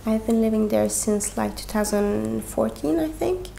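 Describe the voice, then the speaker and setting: Halting woman with a gravelly edge. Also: on the high side, expressive, mellow, slight background noise. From Sweden. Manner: halting, with frequent pauses and "like". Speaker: guest. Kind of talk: candid personal conversation.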